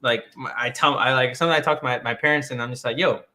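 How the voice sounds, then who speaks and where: A man's voice: medium pitch, fairly even intonation, fast, bright, forceful tone. A man from Colombia talking loosely in a casual discussion, rambling with lots of "like" and restarts. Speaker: guest.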